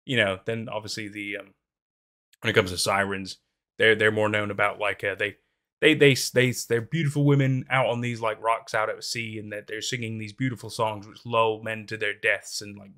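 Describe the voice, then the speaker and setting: Gravelly young man narrating a creepy tale. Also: lowish voice, level tone, normal speed, bright, forceful tone. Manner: rambling, hesitant with many fillers in commentary. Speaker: horror story narrator. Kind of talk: scripted story narration and casual commentary. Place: small room in front of green screen.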